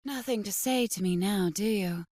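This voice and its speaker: A woman's voice: medium pitch, normal speed, darkish tone, no echo, studio quality, natural voice. Woman playing an intense, troubled teenage girl. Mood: coldly wicked. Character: tormented teenage girl turning wicked and otherworldly.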